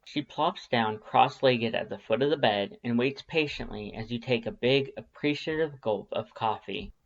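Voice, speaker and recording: A woman's voice: extremely low pitch, normal speed, lo-fi sound. A woman reading scripted story narration as a fan-fiction audiobook narrator.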